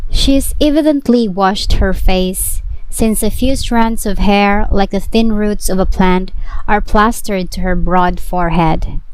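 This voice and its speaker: Slow, smooth-voiced woman narrating. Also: mid-range voice, no room sound, low-quality recording. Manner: measured, unhurried reading. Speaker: audiobook narrator. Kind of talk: audiobook narration of a novel.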